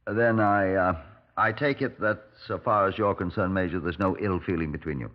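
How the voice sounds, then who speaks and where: An actor playing a measured, courteous middle-aged British Scotland Yard superintendent, narrating and questioning in a 1950s radio drama. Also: male voice, low-pitched, close to an everyday voice. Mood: measured, probing. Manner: measured, polite, probing. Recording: muffled 1950s radio recording.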